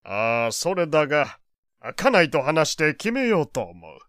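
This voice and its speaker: Animated, higher-voiced genial old gentleman. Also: a little slow, dry recording, clean studio sound, full character voice. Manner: old-fashioned elderly speech, avuncular. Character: genial old gentleman, pompous but kindly family man. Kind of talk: Japanese audio drama acting.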